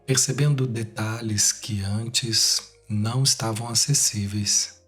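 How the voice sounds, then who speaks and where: Slow, low-voiced Brazilian man guiding meditation. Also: fairly even intonation, fairly bright tone, breathy edge. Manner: unhurried short phrases with long pauses. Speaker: guided meditation leader. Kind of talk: guided meditation narration. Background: music.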